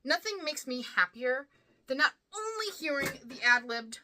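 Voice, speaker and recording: A woman's voice: sits high, very animated, normal speed, sharp tone, slight background noise. A woman hosting a ranking video, giving fan commentary in an enthusiastic, emphatic manner.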